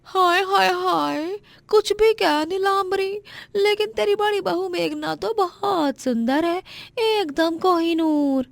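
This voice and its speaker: A woman's voice: very high voice, takes it slowly, creaky, no echo, pitched above the performer's own voice, heavily acted. A woman voicing a gushing, gossipy village neighbour, exclaiming effusively in a family story. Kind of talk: dramatized Hindi family story.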